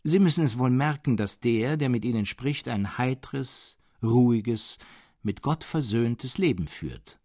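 A man playing a serene, mad hermit who believes himself a martyred monk, speaking calmly, eloquently and insistently in a dramatic audiobook reading. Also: medium pitch, unhurried, no room sound, studio quality. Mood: serene, admonishing. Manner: calm, eloquent, insistent, with rhetorical questions.

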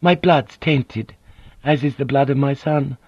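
A man voicing a gentle, composed Japanese noblewoman who speaks softly and formally. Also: mid-range voice, measured pace, dry recording, dull audio, voice pushed up. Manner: soft, formal, careful speech.

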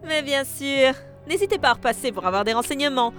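A woman's voice: high-pitched, lively intonation, brisk, fairly bright tone, faint music behind. A woman playing a theatrical, mercenary fortune-teller with a formal, salesy manner in an audio drama.

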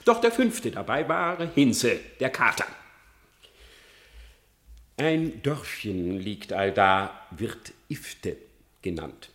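An actor playing a cunning, lying fox who theatrically feigns repentance in a classic German verse radio drama. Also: male voice, fairly low pitch, big pitch swings, slow pace, long pauses, heavily acted. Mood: scheming, dramatic. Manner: theatrical, feigned repentance, swinging between hush and outcry. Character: cunning lying male fox pleading before his execution.

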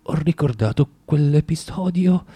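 An actor playing a bitter, tormented man of forty in a theatrical radio monologue, halting and repeating himself as he swings between muttering and outbursts. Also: a little high, expressive, mellow, slightly rough. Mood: gloomy, wistful. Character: bitter, tormented forty-year-old underground man, spiteful and self-contradicting.

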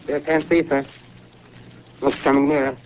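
A man plays a dutiful army lookout in an old radio play. He reports in short, clipped phrases at an average pitch, through a dull recording swamped with noise. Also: flat delivery, moderate pace, lightly acted. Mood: alert, tense. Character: dutiful army artillery observer reporting to his officer. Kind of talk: 1930s radio drama acting.

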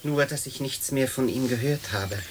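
An actor playing a wistful, devoted dead father who speaks in a measured way in a radio play. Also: mid-range voice, a little hiss or hum, natural voice. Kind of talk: German radio play acting.

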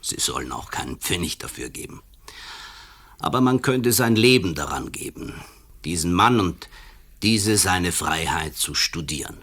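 An intellectual writer with a deep voice. He philosophises slowly and emphatically, brooding and faintly malicious, in radio play style. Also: expressive, fairly bright tone, heavily acted. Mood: brooding, insistent.

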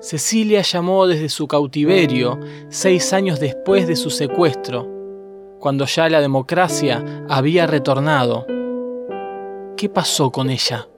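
A man's voice: fairly high pitch, measured pace. A man reading scripted documentary narration. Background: guitar music.

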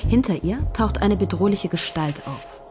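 A shy young woman writer, played by an actress, narrates and pitches twists with eager energy. The radio play has music clearly running underneath. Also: normal speed, natural voice. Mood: telling. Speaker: young scriptwriter narrating her own story.

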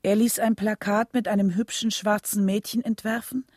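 Medium-pitched woman narrator, dry sound. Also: steady tone, normal speed, darkish tone, no echo. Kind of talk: scripted documentary drama narration.

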